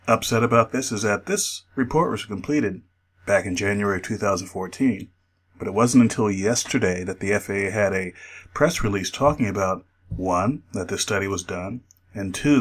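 A man speaking as an expert guest in a radio interview, explaining in a measured, careful way. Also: lowish voice.

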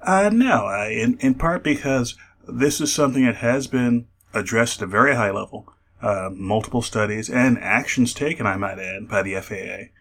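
A man speaking as an expert guest in a radio interview, explaining in a measured, careful way. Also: medium pitch, a bit bright.

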